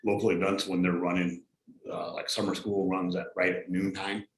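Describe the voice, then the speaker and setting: A very low-voiced man explains things plainly and haltingly at a meeting. His delivery stays quite monotone, and the room is echoey. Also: a little hiss or hum. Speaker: school district transportation staffer. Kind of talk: informal spoken explanation at a board meeting. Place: indoor school board meeting room.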